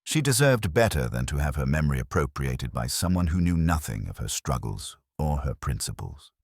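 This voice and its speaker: A man voicing a composed, prim woman librarian in an audiobook mystery, speaking quietly with precise diction. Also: a bass voice, big pitch swings, moderate pace, creaky, slightly rough, no room sound, clean studio sound, full character voice. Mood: quiet defiance.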